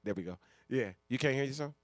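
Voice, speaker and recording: Male talk-show host, rapid and dry-miked, slangy, sweary, comic ranting. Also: medium pitch, dry recording. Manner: profane, slangy, animated ranting with rhetorical questions. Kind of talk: casual comic banter on a live talk show.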